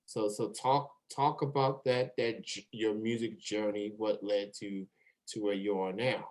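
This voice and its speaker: A man hosting a casual online interview, talking in a halting way and repeating words. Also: lowish voice, steady tone, a little mellow. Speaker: show host interviewer.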